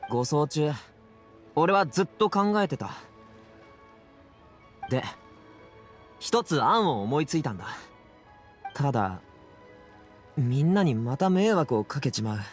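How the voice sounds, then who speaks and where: An earnest young man from an anime video game, troubled but determined. The voice sits a little high and moves with some liveliness. The delivery is slow, casual and hesitant. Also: big pitch swings, faint music behind.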